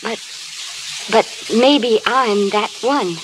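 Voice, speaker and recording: A woman's voice: a little high, drawn-out words. A sweet young American hopeful in a 1930s radio drama. She speaks politely and earnestly, and the old recording has a light hiss. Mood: quietly determined. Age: young adult. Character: hopeful, earnest young small-town woman chasing stardom.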